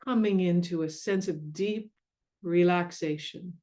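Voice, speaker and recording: A woman leading a guided meditation in a gentle, soothing, unhurried way with long pauses. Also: lowish voice. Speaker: meditation guide and mindfulness coach.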